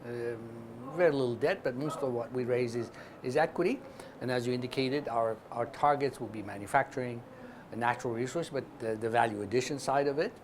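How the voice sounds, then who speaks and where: A man's voice: medium pitch, brisk, background noise. A man from Ethiopia explaining business as an interview guest, speaking emphatically and punctuating his points with short check-ins. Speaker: interview guest, investment fund chairman.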